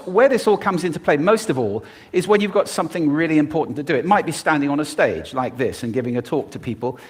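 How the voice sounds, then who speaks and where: A man's voice: on the high side, breathy edge. A man giving a prepared stage talk, demonstrating voice techniques expressively as he goes. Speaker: public speaker.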